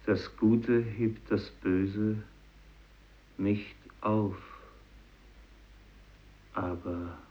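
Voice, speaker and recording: An actor playing a brooding, guilt-laden fisherman, speaking solemnly and deliberately in a 1950s German radio drama. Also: on the low side, fairly even intonation. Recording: dull old radio recording.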